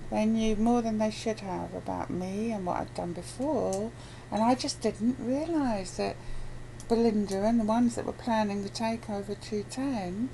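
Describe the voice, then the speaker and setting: A woman's voice: medium pitch, a little slow, creaky, warm tone, low-quality recording. A woman chatting casually and conversationally. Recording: faint background noise.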